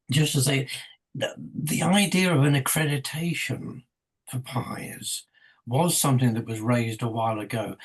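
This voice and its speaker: A man with a croaky voice from a cold, speaking reflectively as a contributor on a video call. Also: medium pitch, rough recording. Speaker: panel contributor. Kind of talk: online book launch discussion.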